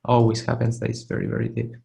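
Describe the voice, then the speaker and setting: A man teaching a live online art webinar, hoarse and losing his voice, who keeps clearing his throat and gives casual, encouraging feedback with frequent restarts. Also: medium pitch, very animated, fast, dark tone. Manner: casual, encouraging, restarts phrases while demonstrating. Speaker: art instructor giving feedback. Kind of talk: live webinar critique of 3D sculpts.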